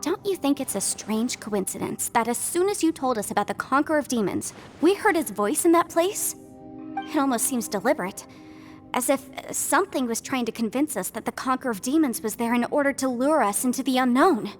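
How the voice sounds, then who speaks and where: A woman playing an analytical, polite young investigator in a video game, measured, articulate and thoughtful. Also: high voice, animated, faint music behind.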